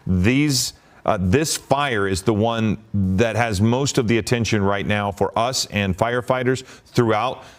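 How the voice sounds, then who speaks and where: Low-voiced man anchoring live breaking news. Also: a little slow.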